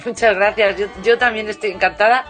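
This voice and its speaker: A woman's voice: medium pitch, steady tone, brisk, faint music behind. Chatty woman singer, radio guest. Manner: warm, chatty, many restarts and fillers. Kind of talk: casual radio interview.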